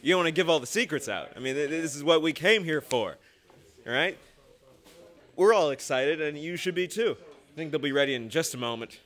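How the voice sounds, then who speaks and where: Young male host, bright slightly high voice, folksy, chatty ad-libs. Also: animated, fairly quick. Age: young adult. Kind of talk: casual ad-libbed show hosting. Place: small indoor studio. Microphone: stand microphone.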